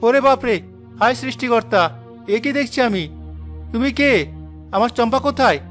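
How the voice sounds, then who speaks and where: A man acts out a hot-tempered working village husband in a cartoon. He is exclamatory and questioning, with a high, forceful, bright voice and music underneath. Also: moderate pace. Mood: shocked, accusing. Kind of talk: Bengali cartoon moral drama voice acting.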